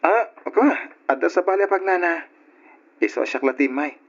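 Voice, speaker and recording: A man's voice: fairly high pitch, normal speed. A man with an Ilocano accent acting a friendly, eager young student in an old radio drama. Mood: friendly, coaxing. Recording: muffled old radio recording.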